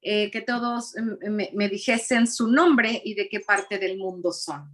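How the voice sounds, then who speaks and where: A woman interprets on a video call. She passes the speaker's lines along quickly, with small restarts and an easy manner. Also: mid-range voice, fairly bright tone. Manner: quick relaying, small restarts, laughs easily. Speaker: interpreter and host. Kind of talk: live interpreting.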